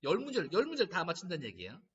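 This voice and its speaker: Lively high-voiced man lecturing on laughter. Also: rapid-fire, breathy edge. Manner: lively storytelling, animated quoting. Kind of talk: lecture to caregivers. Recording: distant mic, dull lo-fi sound.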